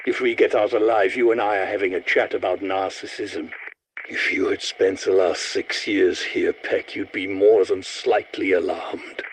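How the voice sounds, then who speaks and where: An actor playing an eccentric, haughty elderly German scientist in a video game, with a German accent and a pompous, theatrical, precisely worded delivery. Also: male voice, mid-range voice, slight background noise, poor audio. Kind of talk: video game character voice lines.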